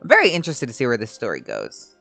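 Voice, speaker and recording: A woman's voice: rumbling low, huge pitch swings, quick pace, vocal fry, fairly bright tone, no room sound. A woman streaming a game with casual, off-the-cuff reactions and short interjections over game music. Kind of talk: casual let's play reactions.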